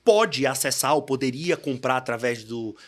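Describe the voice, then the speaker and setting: A middle-aged man with a Bahian Brazilian accent, a guest on a podcast, explaining with lots of fillers and lively asides. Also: moderate pace. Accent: Bahian Brazilian Portuguese. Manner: lively, acts out quoted dialogue in a higher voice, many fillers. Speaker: guest, capital markets entrepreneur. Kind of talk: podcast interview, explanatory storytelling.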